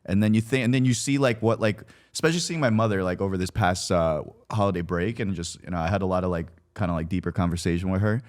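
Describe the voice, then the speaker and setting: A man with a low-pitched voice speaks in casual, personal conversation. He is reflective and somewhat halting, often padding his phrases with "like" as he thinks aloud. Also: darkish tone. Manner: halting, reflective, heavy use of "like".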